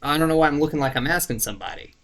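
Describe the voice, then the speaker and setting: A man's voice: fairly high pitch, rapid-fire, a bit bright. A man talking casually to camera in a rambling way, searching for words with lots of fillers, restarts and the odd swear. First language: not French. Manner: laid-back, self-deprecating, swears casually. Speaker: YouTuber.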